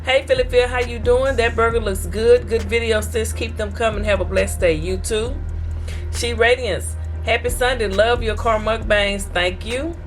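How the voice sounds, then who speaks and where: A woman's voice: on the high side, steady tone, moderate pace, soft background music. A woman from Texas, hosting an eating show and reading viewer comments aloud in a chatty, folksy way. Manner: chatty, folksy, talks straight to her viewers.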